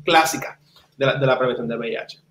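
A man's voice: mid-range voice, quick pace, fairly bright tone. A man in his thirties with a Puerto Rican accent, speaking passionately and emphatically as an interview guest on a video call. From Puerto Rico. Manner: passionate, emphatic, repeats words for stress. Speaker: interviewee, community advocate. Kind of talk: conversational interview answers in Spanish with some English words. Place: indoors, small wood-walled room at home. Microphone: computer mic on a video call. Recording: roomy, echoey video call.

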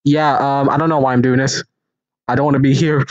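A man's voice: mid-range voice, flat delivery, fairly quick, dry recording, muffled recording. A man casually doing an amateur fan dub of an animation, rambling and unserious, with half-hearted, silly ad-libs. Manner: rambling, silly, unserious ad-libbing with mock alarm. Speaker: amateur voice actor.

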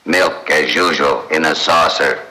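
A tired talking dog from a 1930s comedy film. The voice is rock-bottom deep yet forceful, slow and deadpan. It has the sound of an old film track. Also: male voice, heavily acted, low-quality recording. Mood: weary, deadpan. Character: weary, grumbling talking dog, a ventriloquist's trick.

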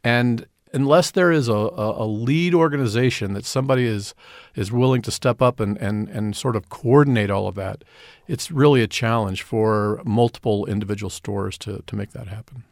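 A man's voice: lowish voice, normal speed, dry recording, clean studio sound. A middle-aged man answering radio interview questions earnestly, often repeating and restarting words. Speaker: community development director, guest.

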